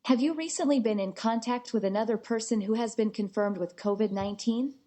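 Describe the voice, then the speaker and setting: Female app prompt voice, slightly high, mellow, even delivery. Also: a little fast. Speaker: automated screening prompt voice. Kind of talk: scripted questionnaire prompts.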